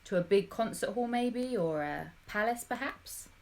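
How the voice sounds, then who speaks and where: Expressive woman reading a children's story. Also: medium pitch, unhurried. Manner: animated, dramatic, addressing young listeners directly. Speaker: storybook reader.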